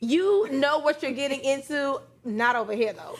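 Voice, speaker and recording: High-voiced American woman hosting. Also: lively intonation, normal speed. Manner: informal, assertive. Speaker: talk show host. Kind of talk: lively panel discussion and show hosting.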